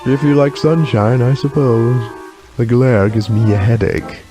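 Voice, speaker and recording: A man's voice: mid-range voice, steady tone, unhurried, music in the background, natural voice. A man playing a grumpy, gloomy talking magic mirror who grumbles sullenly in a children's storybook game.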